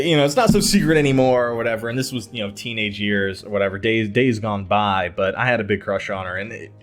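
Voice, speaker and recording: A man telling personal stories casually to his online viewers, digressing, hesitating and circling back as he goes. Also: lowish voice. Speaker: YouTube storyteller. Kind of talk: casual rambling personal storytelling.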